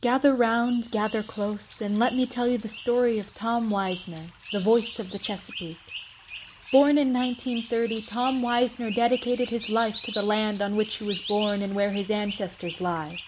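A woman's voice: medium pitch, steady tone, normal speed, a little mellow. A woman giving scripted storytelling narration as a student narrator. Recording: faint noise with birdsong. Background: chirping birds.

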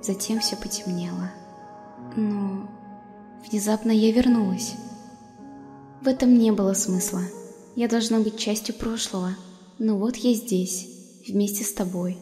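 A tender, motherly female ghost played by a woman with a mellow voice swimming in long reverb. She speaks wistfully and gently, as in a dubbed comic drama, with music underneath. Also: medium pitch, fairly even intonation, measured pace, long pauses, lightly acted. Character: gentle motherly ghost of a dead skeleton monster. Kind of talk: acted comic dub.

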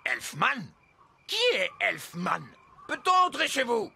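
A man voicing a grumpy, sceptical old wise elf in a cartoon, with a rough, pompous delivery. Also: high-pitched, wildly swooping pitch, slow pace, sharp tone, a little hiss or hum, heavily acted. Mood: grudging. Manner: indignant, pompous, dismissive. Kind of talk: animated cartoon acting.

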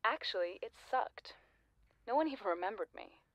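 Audio play: lonely, persistent female ex-classmate played by an actress, sardonic and blunt, mid-pitched, dry close studio sound. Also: animated, moderate pace, no room sound, lightly acted. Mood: wry, vulnerable. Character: lonely, persistent, wryly needy former high-school classmate, woman. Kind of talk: naturalistic audio drama dialogue.

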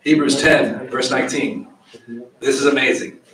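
A middle-aged man teaching a Bible study in a small church room, animated, with questions for the audience. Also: medium pitch, normal speed, crowd noise. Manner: animated, gestures widely, asks the audience questions. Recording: echoey room, voice from far off.

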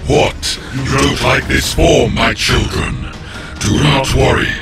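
A man's voice: medium pitch, fairly even intonation, slow, roomy sound, distant mic, loud music behind the voice, close to an everyday voice. A man voicing a monstrous, domineering alien insect queen in an audio drama. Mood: menacing.

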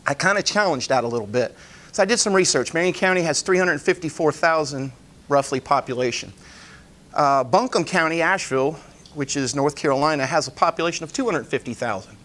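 A man in his forties presenting a business pitch live in a meeting room, plain-spoken, in short emphatic phrases. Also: animated, brisk, a bit bright. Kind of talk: informal live presentation with slides. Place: indoor meeting room, standing at a lectern.

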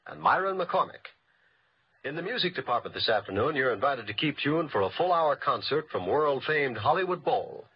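An American man announces network programs in a polished vintage radio style. His mid-pitched voice is husky and lively, and the old recording sounds dull. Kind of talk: scripted 1950s radio promo.

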